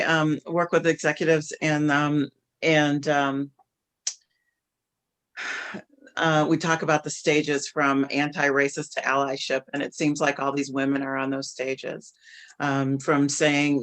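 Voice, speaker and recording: A man speaking reflectively and haltingly in a casual discussion on a video call, often posing rhetorical questions. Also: fairly high pitch, fairly bright tone. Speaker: discussion participant who coaches executives.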